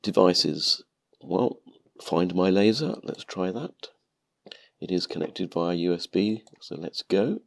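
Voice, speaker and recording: A man narrating a calm step-by-step tutorial, sounding nasal from a cold. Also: low-pitched, slow pace, vocal fry. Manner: calm, methodical explaining. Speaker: maker channel host.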